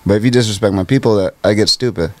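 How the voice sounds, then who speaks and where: A man from with a nasal, low-set voice chats easily as a podcast guest. He talks quickly in an easygoing, storytelling way. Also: a little mellow, no room sound. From Canada. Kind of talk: casual chat.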